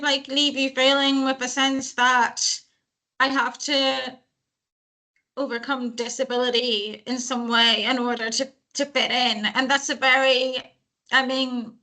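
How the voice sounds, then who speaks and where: High, bright woman speaking. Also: rough recording. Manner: thoughtful, many ums and restarts. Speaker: speaker on hiring deaf employees. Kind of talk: talk at a panel event.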